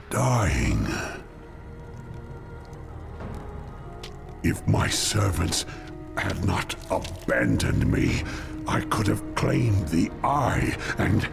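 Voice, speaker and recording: Gravelly, raving orc warlock villain. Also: male voice, low-pitched, heavily acted. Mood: dying, defiant. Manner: contemptuous, grandiose villainous declaiming. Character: ruthless, arrogant orc warlock, raving and power-hungry. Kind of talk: dramatic video game voice acting.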